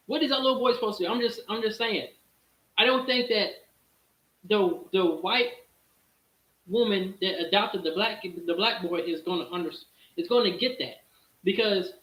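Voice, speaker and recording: A man with a high, bright voice hosts a casual stream, thinking out loud. He restarts his sentences and keeps posing rhetorical questions. The sound is roomy and echoey. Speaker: streamer host. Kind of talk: casual live-stream talk.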